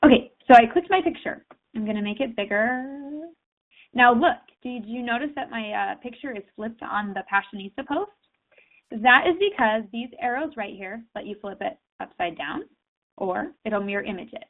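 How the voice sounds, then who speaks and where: A woman's voice: a little high, expressive, moderate pace. A woman with a slightly raised voice talks her viewers through a tutorial in a loose, chatty way, full of fillers. She is fighting a cold and sniffles now and then. The sound is thin and tinny, like a phone line. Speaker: tutorial host. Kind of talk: casual screen-share tutorial.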